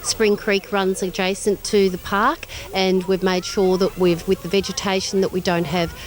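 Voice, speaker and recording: An Australian woman speaks as a local mayor in a news interview. She talks fluently and with confidence, her pitch in the middle range. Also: level tone, moderate pace.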